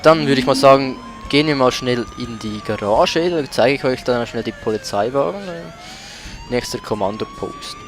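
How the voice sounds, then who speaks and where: A man's voice: mid-range voice, huge pitch swings, moderate pace, noisy background. A man giving casual commentary as he plays a video game. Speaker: let's play gamer. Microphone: voice-over mic over game audio. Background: racing game car sounds and music.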